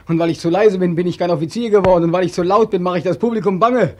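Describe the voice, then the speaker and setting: An actor playing a despairing, homeless soldier back from war, emotional and pleading, in 1940s radio drama style. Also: male voice, sits high, fairly even intonation, very fast. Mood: bitter, anguished. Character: despairing returned soldier, homeless, anguished and naive. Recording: dry 1940s radio recording.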